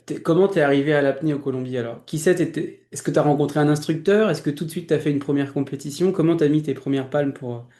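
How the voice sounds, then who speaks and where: A man's voice: on the high side, quick pace, few pauses, mellow. A man hosting a podcast, casually prompting his guest with short questions. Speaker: podcast host. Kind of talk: casual interview chat.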